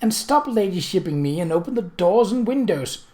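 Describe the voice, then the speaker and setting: A man's voice: sits high, big pitch swings, normal speed, dark tone, pitched above the performer's own voice. A man voicing a firm, well-spoken Victorian lady of the house in a story reading. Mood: impatient.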